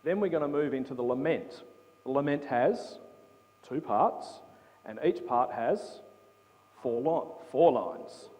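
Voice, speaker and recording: A man's voice: medium pitch, expressive, slow, darkish tone. A man giving a conversational Bible talk to a congregation, often checking in with his listeners. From England. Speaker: preacher. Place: church hall. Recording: long hall reverb.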